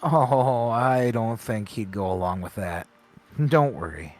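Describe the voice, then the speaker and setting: A man's voice: lowish voice, takes it slowly, a little mellow. A man voicing a shifty, evasive, teasing goat owner in an improvised comedic fan dub of a fantasy game, with stammering, repetitive delivery. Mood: dismissive, teasing. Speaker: amateur live fan-dub voice actor. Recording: background music under the voice.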